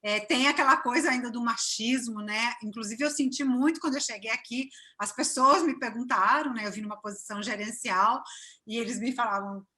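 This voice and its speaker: Uma mulher brasileira com sotaque de Cachoeira, na Bahia, conversando de forma calorosa e falante numa entrevista por chamada de vídeo. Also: female voice, a little high, fairly quick. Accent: Brazilian Portuguese, from Cachoeira (Bahia). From Brazil. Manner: warm, chatty, many fillers and restarts. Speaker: interview guest. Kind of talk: casual interview chat.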